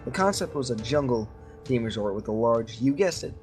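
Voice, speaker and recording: A man's voice: medium pitch, animated, a little fast, a little mellow. Man reading a story over music. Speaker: story reader. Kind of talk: reading a creepy story aloud among friends.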